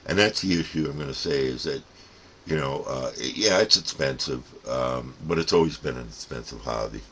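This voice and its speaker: A man's voice: a bass voice, normal speed, sharp tone, slight background noise. An older man giving a casual, rambling, folksy explanation as a plain-spoken hobbyist host. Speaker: radio hobbyist and channel host.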